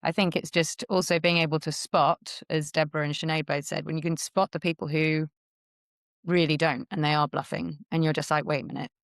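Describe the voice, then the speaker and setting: A woman hosting an online panel discussion, talking in a brisk, thoughtful, conversational way over a video call. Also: sits low, flat delivery, vocal fry, no echo, studio quality. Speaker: host.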